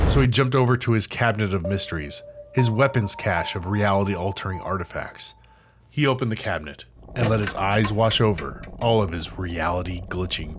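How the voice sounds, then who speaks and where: A man narrating an interactive audio drama in a suspenseful, theatrical scripted style with dramatic pauses. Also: on the low side, a little hiss or hum. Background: music.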